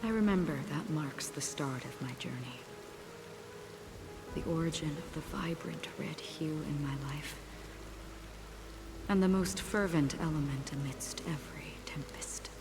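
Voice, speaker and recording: Melancholy game swordswoman, low grave voice, really measured, music and rain behind. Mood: wistful, hushed. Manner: slow, measured, grave delivery. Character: solemn, melancholy woman swordswoman recalling her past. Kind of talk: scripted video game acting.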